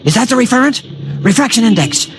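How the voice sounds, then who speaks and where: A man's voice: very high-pitched, lively intonation, fast, crowd noise. A man playing an invisible alien protector who is fussy and eager, groping for the right words in a slightly pedantic way, in 1950s radio drama acting.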